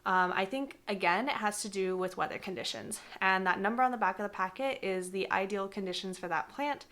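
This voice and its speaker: A woman's voice: medium pitch, fairly quick, fairly bright tone. A young woman with a slightly congested voice, explaining gardening in a chatty, casual vlog with frequent fillers. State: congested from a cold. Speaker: gardening vlogger. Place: indoors, with some segments recorded outdoors in a garden.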